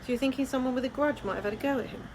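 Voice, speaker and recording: A woman's voice: high voice, rapid-fire, darkish tone, close to an everyday voice. Woman acting questioning policewoman, noisy ambience. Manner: questioning, musing. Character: questioning female police colleague, plain-spoken. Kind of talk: naturalistic radio play acting.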